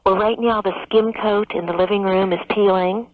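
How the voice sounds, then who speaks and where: A woman with a mid-pitched voice calls in to a radio show. She explains things in a conversational way. Her voice comes thin and dry down a telephone line. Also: steady tone, normal speed, dry recording. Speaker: caller to radio advice show. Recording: tinny narrow phone line on radio.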